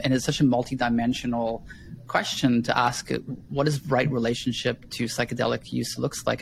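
Gravelly-voiced man hosting a podcast. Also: medium pitch, slight background noise, poor audio. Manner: friendly and meandering, with frequent ums and restarts. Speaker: podcast host.

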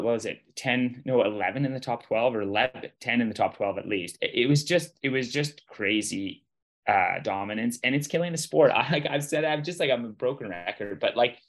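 A man's voice: mid-range voice, fairly bright tone. A man hosting a casual sports recap podcast, talking in an emphatic, colloquial, rambling way and often repeating himself. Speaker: podcast host and ski racing commentator.

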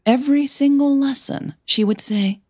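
A woman narrating scripted audio fiction as a podcast storyteller, with measured, deliberate phrasing and short pauses. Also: high-pitched, darkish tone, no echo, clean studio sound.

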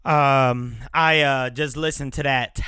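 A man's voice: mid-range voice, fairly even intonation, takes it slowly, bright, forceful tone, clean tone, dry recording, studio quality. A man hosting a casual solo podcast, rambling loosely and cursing often, with a stuffy nose. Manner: loose, profane, rambling with fillers. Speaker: podcast host.

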